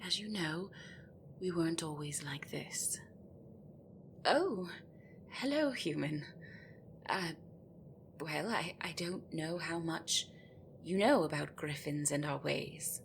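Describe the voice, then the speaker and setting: A woman's voice: low voice, lively intonation, slow pace, gravelly, pauses often, slight background noise, full character voice. A woman playing an anxious, desperate female gryphon in an audio roleplay, speaking in a hesitant, deferential, pleading way. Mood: hesitant, wistful.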